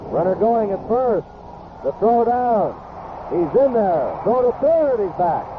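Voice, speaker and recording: A man's voice: high-pitched, very animated, a little slow. An American man calling live baseball play-by-play on the radio in short, clipped phrases that follow the action. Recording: noisy, tinny 1970s AM radio broadcast.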